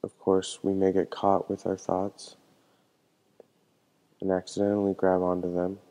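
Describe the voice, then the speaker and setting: Low, mellow man guiding a meditation. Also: low-quality recording. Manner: calm, gentle, measured instruction. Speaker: meditation teacher. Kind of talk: guided meditation.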